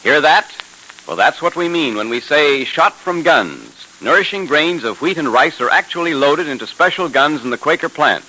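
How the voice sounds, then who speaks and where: A man speaks as a 1930s radio announcer over audible hiss. His pitch moves in lively swings and his tone is bright and forceful. He sells and narrates with emphatic polish. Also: moderate pace, low-quality recording. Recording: hissy 1930s radio broadcast recording.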